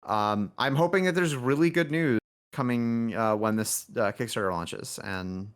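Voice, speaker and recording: A man speaking as a game developer guest in a casual interview, halting and self-deprecating. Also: mid-range voice, clear, resonant tone.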